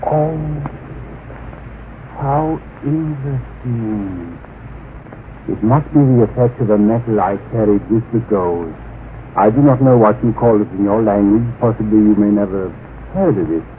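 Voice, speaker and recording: An actor in an old radio drama playing a serenely superior mind-reading stranger, speaking formally and pedantically. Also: male voice, on the low side, measured pace, close to an everyday voice. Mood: calmly curious. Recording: muffled, narrow-band old radio recording, hissy.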